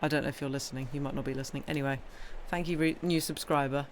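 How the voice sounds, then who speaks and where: A woman's voice: very deep voice, steady tone, racing. British female streamer, informal and playful, meandering self-interrupting chat, slight background noise. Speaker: livestream host and reader. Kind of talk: casual livestream chat.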